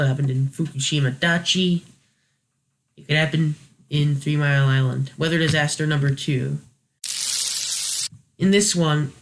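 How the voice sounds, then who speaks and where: Male video host, voice a bit high; unscripted, casual delivery, tentative and self-correcting. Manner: hesitant, thinking aloud, self-correcting. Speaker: host of a video review series. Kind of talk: casual unscripted commentary, rating scenarios.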